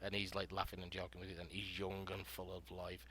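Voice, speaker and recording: A man with a deep voice and a bright, forward tone hosts a writing podcast panel. He explains story prompts casually and narrates scenes aloud, leaning often on "you know" and other fillers. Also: completely flat delivery, brisk, a little hiss or hum.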